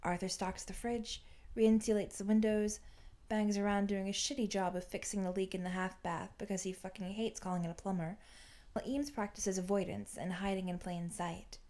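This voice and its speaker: A woman's voice: mid-range voice, steady tone, normal speed, hardly any pauses, mellow. A woman reading fan fiction aloud as scripted audiobook-style narration.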